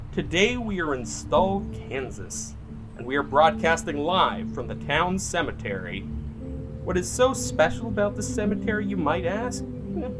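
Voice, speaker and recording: A man playing the showy host of an urban legend show in a horror audio drama. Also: a little high. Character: male urban legend show host broadcasting from a haunted cemetery.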